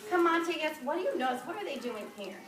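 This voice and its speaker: A woman teacher reading a picture book aloud to young children, expressive and often prompting them with questions. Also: high voice, fast, over a noisy crowd. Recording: distant, echoey noisy classroom.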